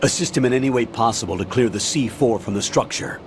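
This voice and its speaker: A man's mid-pitched voice acted for a video game with faint noise behind it. He is a stern colonel who briefs and orders firmly, formal and authoritative. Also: quick pace, close to an everyday voice. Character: stern military colonel, firm and brisk commander. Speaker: colonel, commanding officer.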